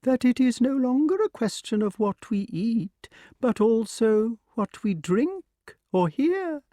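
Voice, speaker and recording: A man's voice: very high voice, lively intonation, slow pace, mellow, no echo, pitched above the performer's own voice, exaggerated voice. A man voicing a sarcastic, cold old male owl in an audiobook reading, with clipped, dry speech. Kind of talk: audiobook character voice.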